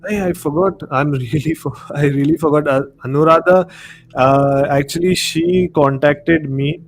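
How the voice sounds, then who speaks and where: A man's voice: fairly high pitch, moderate pace. A man with an Indian accent speaking casually as the host of a live podcast discussion. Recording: clearly noisy live-stream audio.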